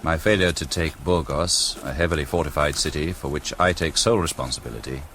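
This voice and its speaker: Very deep-voiced British commander. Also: male voice, fairly bright tone, slight background noise. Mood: grave, formal. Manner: formal, measured, stiff-lipped. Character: grave British army commander dictating a dispatch. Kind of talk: BBC radio drama acting.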